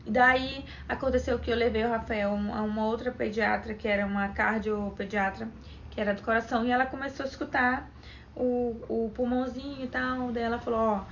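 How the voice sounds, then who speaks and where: A woman's voice: on the high side, steady tone, brisk, a bit bright. A young woman from Brazil talking casually to camera as a vlogger, chatty, retelling events and quoting what others said. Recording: dull lo-fi sound with faint background noise.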